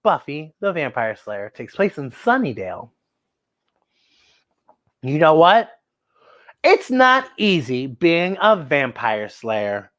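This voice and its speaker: A woman hosting a children's story time, excitable and playful, with exaggerated expressive storytelling. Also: low-pitched, slow, long pauses.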